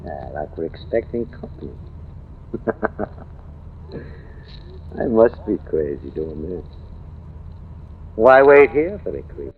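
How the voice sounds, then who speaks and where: Volatile, menacing noir tough guy, wildly swinging pitch. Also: very animated, slow, long pauses, a little hiss or hum, exaggerated voice. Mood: brooding, agitated. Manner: brooding, swinging into outbursts. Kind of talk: old-time radio noir acting. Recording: tinny vintage radio recording with music.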